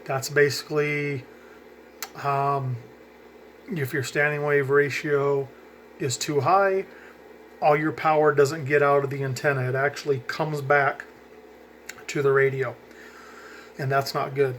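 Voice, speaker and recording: A man's voice: mid-range voice, fairly even intonation, measured pace, long pauses, a bit bright, slight background noise. Rambling man explaining radio gear. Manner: conversational, many ums, self-correcting. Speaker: hobby radio vlogger. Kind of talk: casual how-to rambling.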